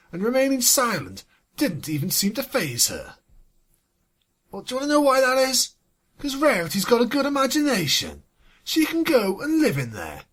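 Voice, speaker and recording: A story reader with a husky voice, sardonic and exasperated, swinging between narration and sweary asides. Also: medium pitch. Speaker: fan fiction reader and commentator. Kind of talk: scripted story reading with sarcastic asides.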